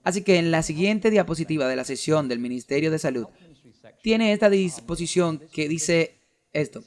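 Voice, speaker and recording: A man's voice: fairly high pitch, expressive, normal speed. An earnest man with a voice a little above the usual male range builds an argumentative case point by point. He delivers it as a sermon-style lecture and stops now and then to read quoted documents aloud. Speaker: preacher giving a talk.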